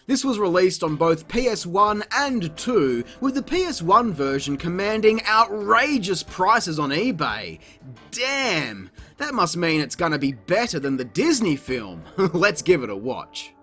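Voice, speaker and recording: A man's voice: high-pitched, very animated, unhurried, pushed and bright, faint music behind. A man narrating a scripted comedic review in a sarcastic, crude, mocking manner. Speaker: video reviewer.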